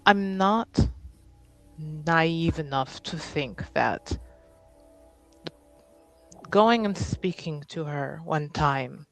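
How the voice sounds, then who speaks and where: A tabletop roleplaying player speaking in character with a halting, earnest delivery. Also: mid-range voice, no echo, low-quality recording.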